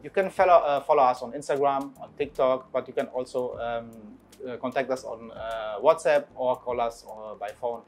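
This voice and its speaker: A man in his thirties, a restaurant partner, answering interview questions with many pauses and fillers, recorded on a lapel mic in a restaurant. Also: mid-range voice. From Portugal, raised in Germany. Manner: hesitant, many ums and uhs. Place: restaurant dining area, indoors.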